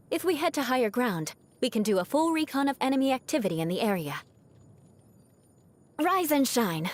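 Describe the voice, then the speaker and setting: A woman's voice: fairly high pitch, close to an everyday voice. A woman voicing a proud young aristocratic knight in a video game, with a haughty, formal, teasing manner and a vengeful streak that hides a caring side. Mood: composed, haughty, then brisk, caring. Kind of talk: scripted video game character voice lines.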